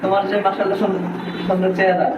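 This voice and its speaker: A Bangladeshi woman interviewer talks quickly and chattily, often repeating things back as questions. She sounds away from the mic in a roomy space, under very loud background noise. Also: mid-range voice, level tone, distant mic, muffled recording. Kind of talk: casual on-camera interview.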